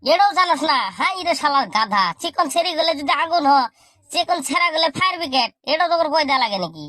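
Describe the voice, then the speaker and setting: High-pitched cheeky cartoon schoolkid, acted out. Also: very animated, quick pace, bright, forceful tone, dry recording, exaggerated voice. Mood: mocking, grumbling. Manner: bickering, mocking, rustic slangy talk. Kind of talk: comedy cartoon dialogue in colloquial Bangla.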